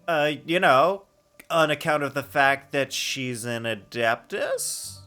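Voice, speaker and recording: A man's voice: medium pitch, lively intonation, takes it slowly, pushed and bright, soft background music. A man giving casual, playful live commentary as a game streamer, thinking aloud in a rambling way.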